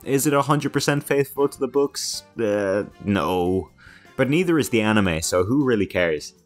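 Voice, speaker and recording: A man's voice: medium pitch, brisk. A young man giving casual, joking, self-deprecating commentary as a guest in a video essay, over background music.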